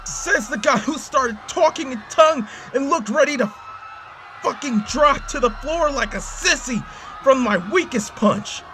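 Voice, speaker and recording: Casting: male actor as boastful arena brawler for a visual novel, very high voice, slow taunting delivery, music underneath. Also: pushed and bright, exaggerated voice. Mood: angry. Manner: taunting, boastful, foul-mouthed. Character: cocky, loud-mouthed brawler, a gloating male tournament fighter.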